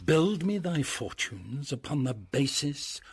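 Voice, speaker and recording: An actor playing a blustering, mischievous boozy uncle knight in a Shakespeare radio drama, husky, theatrical and bossy. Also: male voice, mid-range voice, big pitch swings, takes it slowly, full character voice. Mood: rousing, bossy.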